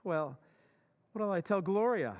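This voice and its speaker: A man's voice: high-pitched, expressive, a little slow, in a large hall, muffled recording. An actor playing a timid, worried married man in an old-time radio drama recreation, speaking hesitantly.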